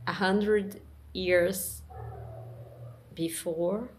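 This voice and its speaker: Woman, halting English monologue, very slow and soft-spoken. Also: on the low side, fairly even intonation, slight background noise. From Brazil. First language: Portuguese. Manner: halting, searching for words, many pauses and restarts. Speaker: vlogger. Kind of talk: personal reflective monologue.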